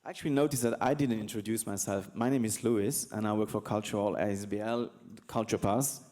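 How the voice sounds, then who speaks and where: A man's voice: on the low side, fairly even intonation, a little fast, darkish tone. Joking conference moderator, a man. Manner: loose, joking, filler-heavy. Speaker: panel moderator. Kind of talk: casual moderating. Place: conference stage.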